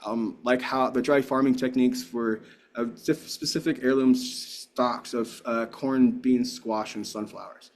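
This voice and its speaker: A man's voice: mid-range voice, fairly even intonation, unhurried. Earnest rambling male keynote speaker. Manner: earnest, rambling, with frequent restarts. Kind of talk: answering audience questions.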